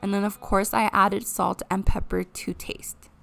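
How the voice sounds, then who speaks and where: A woman narrates a cozy cooking vlog as she talks viewers through each step. Her voice is hoarse from a cold and has a gravelly edge. Her pitch sits in a middle range. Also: little pitch movement, unhurried, fairly bright tone, faint music behind. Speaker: vlogger. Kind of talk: casual cooking vlog voice-over.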